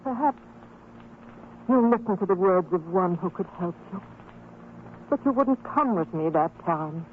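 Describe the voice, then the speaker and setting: A woman's voice: lowish voice, expressive, measured pace, long pauses. An actress playing a devout, firm and hopeful wife and mother in a religious radio drama, speaking with earnest, formal exhortation. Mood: earnest urging. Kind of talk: old-time religious radio drama acting. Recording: tinny, band-limited old radio recording, noisy.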